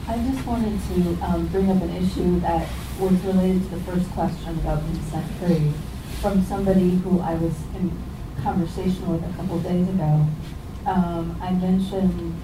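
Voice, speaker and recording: A woman's voice: on the low side, steady tone, moderate pace. A woman sharing in a group discussion, talking hesitantly and rambling, with many restarts. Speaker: meeting participant. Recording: echoey, distant mic in a noisy room.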